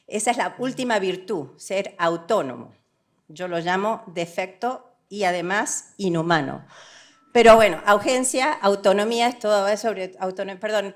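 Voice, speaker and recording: A woman from Argentina giving a semi-improvised conference talk, frank and emphatic, often correcting herself and mixing English words into her Spanish. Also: mid-range voice. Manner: halting, self-correcting, checks in with rhetorical tag questions. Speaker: conference speaker, development economist.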